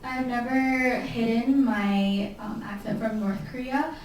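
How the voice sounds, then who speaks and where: A woman's voice: a little high, steady tone, takes it slowly, a little mellow, slight background noise. A woman moderating and interpreting at a university Q&A. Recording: echoey hall from far off.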